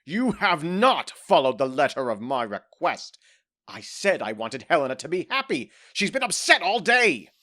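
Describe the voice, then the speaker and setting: Exasperated, animated, fussy fairy king. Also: a little high, moderate pace. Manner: indignant, scolding, emphatic. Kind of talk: modern audio drama acting.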